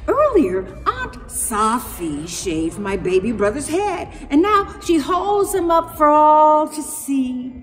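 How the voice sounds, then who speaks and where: Slow, high-pitched woman storyteller with dramatic pauses. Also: very animated, long reverb tail, soft background music. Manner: dramatic pauses, drawn-out words. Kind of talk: theatrical children's picture-book read-aloud.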